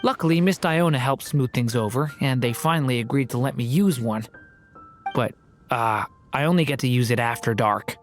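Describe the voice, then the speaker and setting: A woman voicing a gentle, earnest young dragon boy in human form in a video game. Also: rumbling low, normal speed, soft background music. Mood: sheepish. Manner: earnest, hesitant, mild. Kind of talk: video game character acting.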